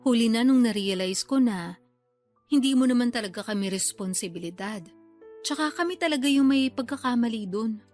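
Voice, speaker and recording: A woman's voice: on the high side, expressive, fairly quick, a little mellow, faint music behind, close to an everyday voice. A woman acting a defensive, wheedling older sister and new grandmother in a radio drama, proud and unapologetic. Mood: excusing. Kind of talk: radio drama dialogue in colloquial Tagalog.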